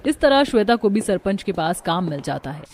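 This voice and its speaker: A woman tells a scripted cartoon moral story as its narrator. Her voice sits at an average female pitch, and she delivers the tale as a storyteller would. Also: big pitch swings, fairly quick, a little mellow.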